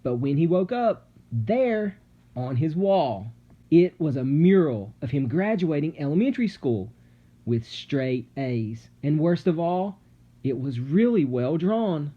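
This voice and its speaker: A man reading a children's story aloud dramatically, with suspenseful, theatrical delivery and deliberate pauses. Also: a little high, very animated, narrow, tinny audio. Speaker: storybook narrator. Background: music.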